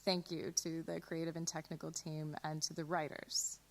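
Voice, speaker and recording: A woman's voice: low-pitched, little pitch movement, moderate pace. A woman, hosting and giving an informal closing address to an online audience. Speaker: host.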